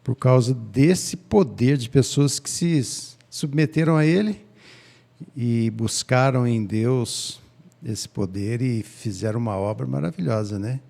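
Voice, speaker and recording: A man co-hosting a Bible study chat, speaking calmly and agreeing often. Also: mid-range voice, measured pace, vocal fry. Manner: calm, agreeing, fills with "né?".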